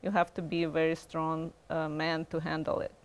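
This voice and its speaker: Gravelly blunt Russian-accented woman. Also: deep voice, completely flat delivery, normal speed. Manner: blunt and straightforward. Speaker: panel guest. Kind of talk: casual studio chat.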